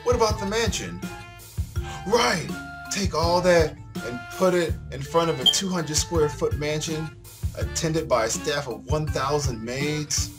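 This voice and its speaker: A man acts out an excitable teenage schoolboy in a visual novel, pitched up and very animated. The boy is a boastful daydreamer who gushes grandly, with music playing behind him. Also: a little slow. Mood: gushing, boastful. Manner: gushing, grandiose.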